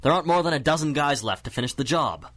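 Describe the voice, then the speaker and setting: A man's voice: a little high, expressive, fast, a bit bright. An actor playing a weary, defensive henchman in a radio drama, plain and matter-of-fact. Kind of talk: old-time radio drama acting.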